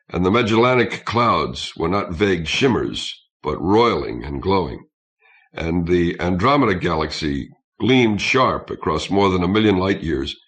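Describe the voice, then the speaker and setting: Deep-voiced man, expressive audiobook narration. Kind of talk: scripted narration of science fiction.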